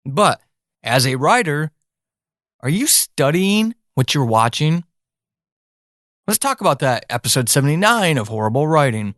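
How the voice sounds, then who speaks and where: American guy podcasting, kinda higher voice, candid and chatty, super dry close sound. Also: sing-song, measured pace, long pauses, fairly bright tone, no echo. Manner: candid, informal, addresses listeners directly. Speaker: podcast host and writer. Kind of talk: conversational podcast monologue about writing. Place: indoor office, dry close recording.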